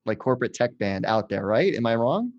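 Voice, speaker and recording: A man's voice: medium pitch, sing-song, brisk, a little mellow, dull audio. A middle-aged man hosting a podcast interview, chatting in a conversational, loosely phrased way. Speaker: podcast host. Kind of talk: casual interview chat.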